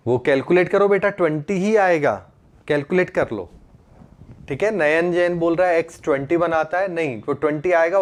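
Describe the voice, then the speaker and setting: A man with an Indian accent and a slightly high voice teaches a live online class. He speaks informally as a teacher, slipping English terms into his explanations and repeatedly checking that students understand. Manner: mixes English terms into Hindi. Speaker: chemistry teacher.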